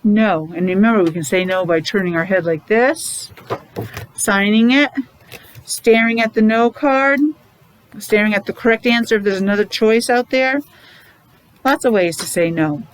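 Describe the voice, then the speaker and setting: A woman's voice: mid-range voice, moderate pace, low-quality recording. A middle-aged woman teaching young children in an interactive morning lesson, using simple repeated phrases, questions and sounded-out letters. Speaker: preschool or special education teacher leading morning meeting.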